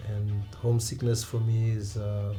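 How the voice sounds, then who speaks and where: A man in his thirties with a Romanian accent, a non-native English speaker, telling stories from his own life in an interview, halting and restarting often and laughing along. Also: fairly low pitch, little pitch movement, mellow, soft background music. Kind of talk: relaxed personal storytelling.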